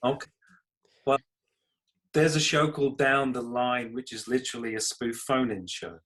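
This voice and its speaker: A British man with a mid-pitched voice talks in a casual interview. He comes across as modest and self-deprecating, explaining things in a conversational way as the guest. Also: fairly even intonation, moderate pace, a bit bright. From United Kingdom. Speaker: podcast guest, comedy writer and creator.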